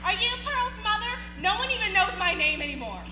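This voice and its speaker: A woman's voice: extremely high pitch, big pitch swings, a little fast. A woman acting a jealous, put-upon fairy-tale mother in a theatrical, complaining manner. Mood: resentful. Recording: echoey room with music behind.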